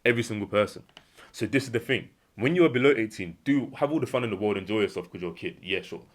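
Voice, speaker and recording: A young man with a British accent talks quickly and earnestly, hammering home his points by repeating them. His voice sits a little low, and he slips into short imagined conversations to make his case. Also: lively intonation. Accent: British English. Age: young adult. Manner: earnest, emphatic, repeats key phrases; acts out imagined dialogue in a lower voice. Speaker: self-improvement vlogger. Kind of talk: unscripted motivational monologue.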